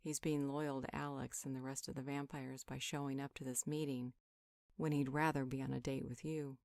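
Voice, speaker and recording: A woman performs a calm female healer for a fantasy roleplay audio. Her voice sits low and is recorded dry and close to silent of any room. She counsels in a measured, conversational way, reasoning things through and reassuring as she goes. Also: gravelly, dry recording. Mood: sympathetic, firm. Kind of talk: conversational roleplay monologue, one side of a dialogue.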